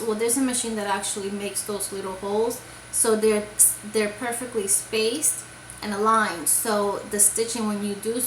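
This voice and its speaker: A woman's voice: mid-range voice, fairly bright tone, roomy sound, a little hiss or hum, rough recording. A woman from Puerto Rico chatting casually about crafts for her video, rambling with many fillers and restarts. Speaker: crochet vlogger. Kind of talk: casual show-and-tell chat.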